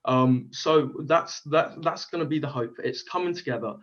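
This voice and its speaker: A man's voice: medium pitch, moderate pace, fairly bright tone. Chatty Irish man, muffled audio. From Ireland. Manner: chatty, jokey, enthusiastic. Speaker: guest, media company co-founder. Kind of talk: casual interview chat. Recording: dull lo-fi audio.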